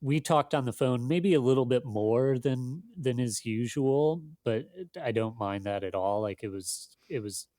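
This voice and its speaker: Man, interview guest, casual, thoughtful, halting delivery, modest hedging, mid-pitched, dry close sound. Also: warm tone, dry recording. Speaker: magazine editor, interview guest.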